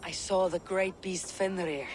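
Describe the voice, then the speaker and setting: A steadfast viking warrior, kinda high male voice, earnest n grave, game cutscene, noisy sea behind. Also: fairly even intonation, unhurried. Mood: troubled, earnest. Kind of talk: dramatic video game cutscene acting. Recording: loud sea and wind noise behind.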